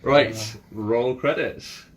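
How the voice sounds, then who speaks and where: A man chatting casually as he runs and records a group tabletop game, halting and repeating words as he organises things. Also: mid-range voice, a little hiss or hum, poor audio. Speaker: game master and recorder of the podcast.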